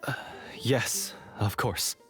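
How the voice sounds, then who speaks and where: Guy doing a worn out humble young hunter in a game, slow halting talk, formal and earnest. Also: soft background music, natural voice. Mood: contrite, earnest. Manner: halting, sighing, formal pledges. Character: exhausted, contrite young male hunter, earnest and meek. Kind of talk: video game character acting.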